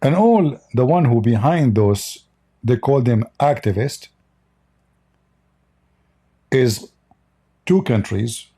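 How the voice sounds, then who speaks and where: Blunt, scornful man, husky and dark. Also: mid-range voice, lively intonation, slow pace, pauses often. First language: Arabic. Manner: repeats words and restarts often. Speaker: online commentator. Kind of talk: unscripted commentary monologue.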